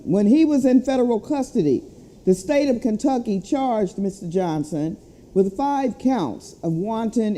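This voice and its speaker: A woman with a very dark, soft-edged voice at a medium pitch delivers a formal statement. She speaks deliberately as an advocacy leader, moving between addressing listeners and reading from a prepared letter. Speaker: civil rights chapter president. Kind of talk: spoken update and reading a letter aloud.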